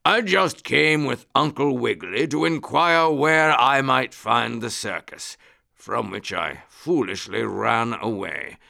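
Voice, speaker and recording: Slow, rumbling, gentle storybook lion. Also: male voice, lively intonation, vocal fry, no room sound, clean studio sound, heavily acted. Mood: apologetic, courteous. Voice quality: loud, rumbling. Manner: slow, courtly, apologetic. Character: big gentle polite male circus lion.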